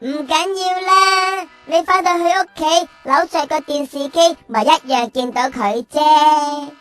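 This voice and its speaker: A woman's voice: sits high, measured pace, a bit bright, faint music behind. A woman voicing a small, cute, curious childlike companion in a children's radio drama, speaking in an inquisitive way. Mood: correcting.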